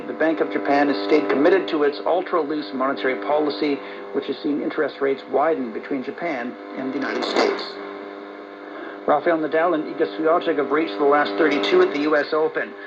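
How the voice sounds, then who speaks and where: A man reading scripted news as an anchor, heard on a very noisy, dull shortwave radio broadcast. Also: on the high side.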